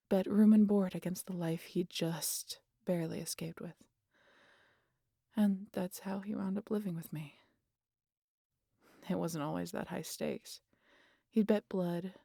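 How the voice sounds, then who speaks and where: Husky, breathy vampire woman, acted by a woman. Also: fairly low pitch, warm tone, dry recording, studio quality, full character voice. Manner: wry, casual, sweary, confiding. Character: teasing, stubborn old vampire woman, wry and guarded. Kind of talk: intimate audio roleplay monologue addressed to the listener.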